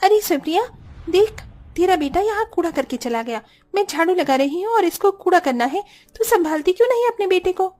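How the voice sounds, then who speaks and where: Woman doing a high-voiced, quarrelsome housewife. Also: animated, fairly quick, darkish tone. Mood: irritated. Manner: complaining, argumentative. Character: defensive, quarrelsome young mother and housewife.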